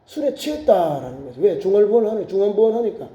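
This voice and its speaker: Male pastor, high voice, very dark mellow tone, animated preaching, echoey church. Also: quick pace. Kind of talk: sermon. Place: church sanctuary.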